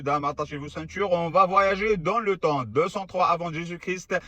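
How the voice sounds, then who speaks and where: A man's voice: a little high, sharp tone, dry recording. A man giving casual, argumentative history commentary to camera, sarcastic and full of rhetorical questions. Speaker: video creator.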